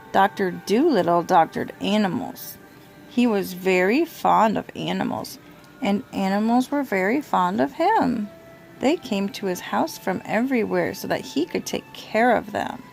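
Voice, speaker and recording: A young adult woman reading a children's storybook aloud as a mother does, gently prompting a small child. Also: medium pitch, expressive, a little slow, vocal fry, faint music behind. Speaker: mother reading to her toddler.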